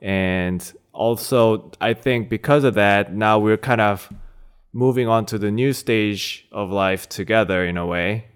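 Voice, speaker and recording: A Korean-born man in his thirties, talking as an interview guest in a relaxed, reflective way with many fillers. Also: lowish voice, a little slow, clear, resonant tone. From South Korea. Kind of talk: casual conversation.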